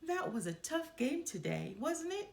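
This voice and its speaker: A woman's voice: high voice, big pitch swings, normal speed, creaky, darkish tone, voice pushed up, full character voice. A woman voicing an earnest, plaintive young boy bear in a children's storybook. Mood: defensive. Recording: roomy echo.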